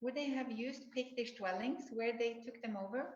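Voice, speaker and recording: A woman's voice: on the high side, normal speed. A woman hosting a live stream, reading viewer questions aloud in an upbeat way. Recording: echoey muffled room with faint noise.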